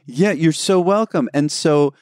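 A man's voice: fairly high pitch, darkish tone, clean tone, no room sound, studio quality. Enthusiastic male podcast host. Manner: enthusiastic, quick to react with "wow". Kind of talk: interview conversation.

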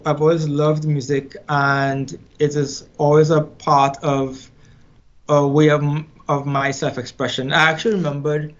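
A middle-aged man from Trinidad and Tobago with a Trinidadian accent, telling his story in a casual interview, unhurried and reflective, often repeating words as he thinks. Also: fairly high pitch, flat delivery. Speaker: musician guest. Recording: slightly dull lo-fi sound.

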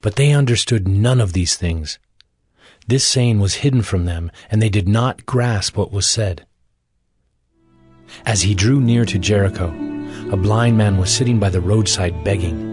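Man as formal audio Bible narrator, solemn, fairly low, measured, heavy music bed. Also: natural voice. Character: solemn male narrator of scripture. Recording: very loud background music.

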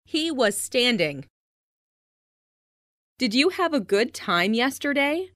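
A woman reads scripted English practice phrases in a clearly enunciated, instructional way. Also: a little high, very animated, takes it slowly, a bit bright, no echo, clean studio sound. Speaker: language lesson narrator.

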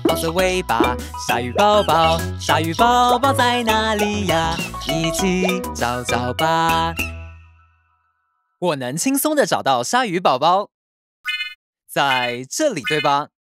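Cartoon father shark: caring, playful adult male, exaggerated and slow, lively pitch, Taiwanese Mandarin. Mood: playful, coaxing. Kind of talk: children's cartoon dubbing. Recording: children's music behind voice.

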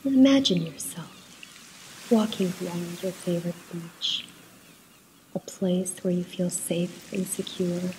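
A woman leading a guided meditation in a soft, at times breathy voice, soothing and unhurried, with pauses between short instructions. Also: on the low side, a little hiss or hum, poor audio.

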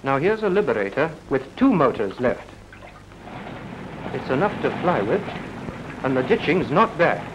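A man's voice: medium pitch, very animated, moderate pace, pauses often, highs cut off, close to an everyday voice. A man acting as a clipped, didactic RAF officer in a 1940s instructional film. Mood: assured, instructive. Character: brisk, assured RAF officer explaining procedure. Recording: noisy 1940s film soundtrack.

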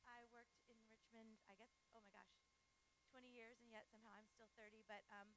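A woman on a discussion panel, a former restaurant server, who talks loudly and quickly in a chatty way. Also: on the high side, flat delivery, noisy background.

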